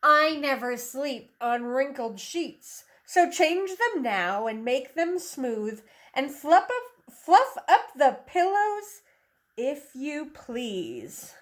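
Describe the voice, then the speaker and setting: Woman voicing a fussy, slow-talking storybook kangaroo. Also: high voice, very animated, a bit bright, heavily acted. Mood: demanding. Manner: fussy, demanding, drawn-out. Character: picky, bossy male kangaroo houseguest.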